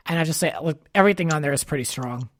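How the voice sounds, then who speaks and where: A man's voice: a little high, very fast, no room sound. A man hosts a casual podcast in an upbeat, informal way. His speech is conversational and sprinkled with "like". The recording is dry, with very little room sound. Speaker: podcast host. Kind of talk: casual chat.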